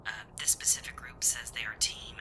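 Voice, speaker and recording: A man puts on a weary front desk clerk for an audio roleplay. The voice is breathy and whispered throughout. The manner is prim and formal, with a dry, dismissive edge. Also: medium pitch, monotone, moderate pace, bright, forceful tone. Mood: dry, impatient. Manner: formal, dryly dismissive, hesitant uhs. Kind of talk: ASMR roleplay voice acting.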